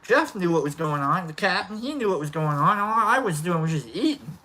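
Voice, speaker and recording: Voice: mid-range voice, very animated, brisk, pushed and bright. A live stream host with a nasal voice, chatting casually and rambling while greeting viewers by name. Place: outdoor lounge by a fire pit.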